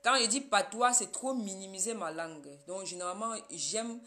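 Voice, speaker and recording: A woman's voice: medium pitch, normal speed, sharp tone. A woman from Cameroon, a Yemba speaker, hosting a casual live stream and talking straight to her viewers.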